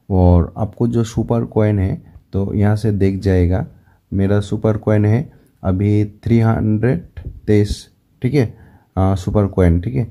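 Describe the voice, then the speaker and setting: A man's voice: fairly low pitch, mellow. A man with a Bengali accent giving a recorded voice-over that walks step by step through a shopping app. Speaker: motovlogger.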